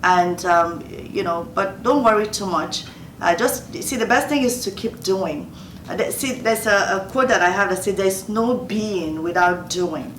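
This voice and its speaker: A woman's voice: mid-range voice, a little slow, a bit bright. A woman in her fifties from Nigeria with a Nigerian accent, giving earnest advice in a Q&A and repeating phrases for emphasis. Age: 50s. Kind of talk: motivational Q&A talk. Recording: roomy distant mic, faint noise.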